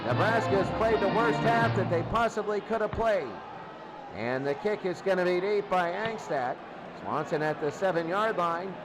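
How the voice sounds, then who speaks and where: A man's voice: high-pitched, animated, moderate pace, over loud music, poor audio. A man announcing football play-by-play as live sports commentary, in short clipped phrases.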